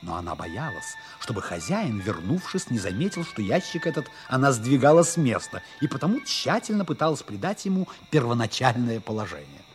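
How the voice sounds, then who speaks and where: A man narrates an old radio drama over music. His medium-pitched voice is animated and moves freely up and down as he tells the scripted story. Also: brisk, few pauses.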